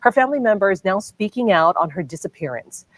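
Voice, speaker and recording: A woman reading scripted television news in a formal, measured anchor style. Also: mid-range voice, animated, no room sound, rough recording. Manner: formal, measured newsreader delivery.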